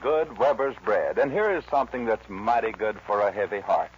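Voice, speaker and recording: A man's voice: fairly low pitch, moderate pace, highs cut off, slight background noise. A man hosting a 1940s radio show, announcing from a script on a vintage recording with western music behind him.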